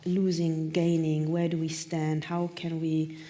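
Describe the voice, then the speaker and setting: A woman's voice: low-pitched, little pitch movement, a little slow, dark tone. A woman hosting a live on-stage interview, phrasing her thoughts tentatively as she speaks. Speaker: talk host. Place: live stage event at a theatre.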